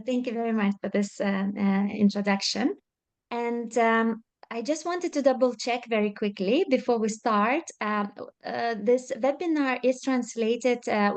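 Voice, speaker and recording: A woman moderating a webinar, giving brisk, practical instructions before the first speaker. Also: a little high. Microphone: video call.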